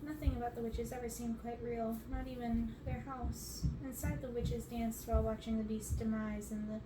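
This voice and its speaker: A woman's voice: on the high side, flat delivery, normal speed. A young adult woman reading a storybook aloud as scripted ASMR narration in a bedroom. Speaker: reader on an ASMR channel. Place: bedroom, indoors. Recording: roomy, noisy, distant microphone.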